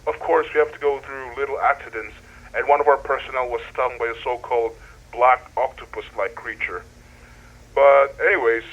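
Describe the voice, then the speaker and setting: A man playing an earnest, wonderstruck deep-sea researcher speaking slightly formally into a recorded log for an analog horror drama. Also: a bit bright, slightly rough, dull audio. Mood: fascinated. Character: excitable male deep-sea researcher keeping an audio log.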